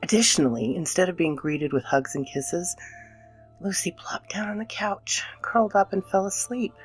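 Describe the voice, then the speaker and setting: A woman playing an anxious, guilt-ridden mother in a dramatic scripted horror narration, theatrical and dipping into breathy near-whispers. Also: fairly low pitch, normal speed, faint music behind, natural voice, poor audio. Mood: confessional, uneasy.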